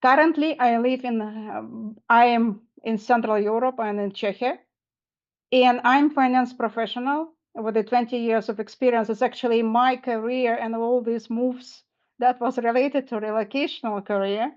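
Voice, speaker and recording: A woman introduces herself on an online panel, building her sentences carefully and with phrasing. Her voice is somewhat high. The sound is dull and lo-fi, as over a video call. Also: fairly even intonation. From Kazakhstan. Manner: halting, second-language phrasing. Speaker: panelist, finance mentor.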